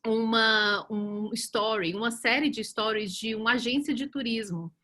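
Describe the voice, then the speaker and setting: A woman in her forties, a communications professional from Brazil, speaking as a panelist on a webinar in a chatty, animated way with many fillers and restarts. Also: on the high side, unhurried. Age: 40s. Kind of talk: casual webinar talk.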